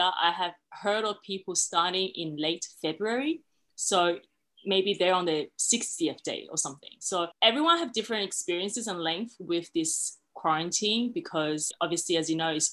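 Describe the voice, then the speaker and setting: Woman in her 30s, podcast guest, bright clear tone, open and chatty. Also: on the low side. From China, raised in Australia. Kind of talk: casual podcast conversation.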